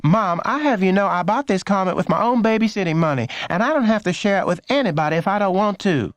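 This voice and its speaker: A man's voice: sits high, lively intonation, quick pace, no echo, voice pushed up. A man voicing a bossy, indignant older sister, a girl in a children's audiobook. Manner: indignant, snippy.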